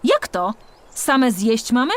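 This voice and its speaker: Woman as a firm, animated old mentor ant. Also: fairly high pitch, slow pace, pitched above the performer's own voice. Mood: instructive. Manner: firm, lecturing, motherly. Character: stern, kindly old female worker ant, instructive mentor. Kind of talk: children's storybook character voice.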